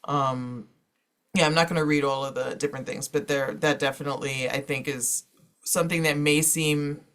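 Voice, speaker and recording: A man's voice: on the high side, fairly even intonation, moderate pace, fairly bright tone. A man moderating an informal panel presentation, talking in a discursive way peppered with "you know".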